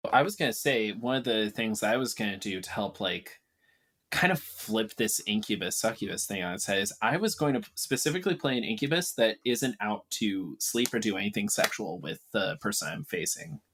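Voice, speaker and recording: A man's voice: lowish voice, expressive, moderate pace, a bit bright. A man talking informally in a casual planning chat as a podcast player.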